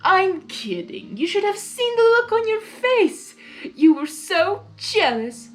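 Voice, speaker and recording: A woman's voice: very high voice, big pitch swings, takes it slowly, faint music behind, pitched above the performer's own voice, full character voice. A woman voicing a proud, teasing male chaos creature from a cartoon fan story, in a theatrical, emphatic way. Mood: gleeful teasing.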